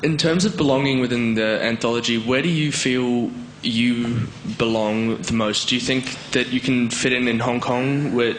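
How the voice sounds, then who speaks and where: A man's voice: medium pitch, fairly even intonation, moderate pace, hardly any pauses, a bit bright. A man in the audience asking a question, hesitant and filling gaps with ums. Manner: hesitant, with many fillers. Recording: echoey hall with background noise.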